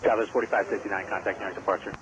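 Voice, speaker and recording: A male tower controller with a lowish voice issues landing and taxi clearances very quickly. His delivery is terse and businesslike. It comes through a hissy airband scanner radio. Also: fairly even intonation, muffled recording. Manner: brisk, terse instructions. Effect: two-way radio transmission. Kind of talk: clipped radio phraseology.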